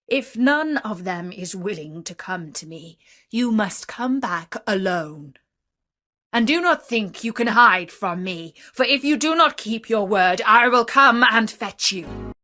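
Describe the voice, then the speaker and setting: A frightful male beast from a storybook, acted out by a woman reader, loud and full of anger. He commands and threatens with stern, measured force. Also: mid-range voice. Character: frightful, furious male beast, lord of a palace. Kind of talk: storybook character voice.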